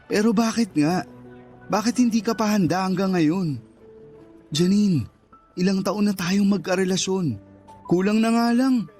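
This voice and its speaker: An actor playing a hurt, insistent young boyfriend who presses with frustrated questions in a radio drama. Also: male voice, sits high, darkish tone, close to an everyday voice. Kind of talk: Tagalog radio drama acting.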